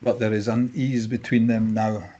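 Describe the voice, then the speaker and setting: A man's voice: fairly low pitch, flat delivery, normal speed, muffled recording, noisy background. A man giving scripted narration, reading out the stage directions of a drama.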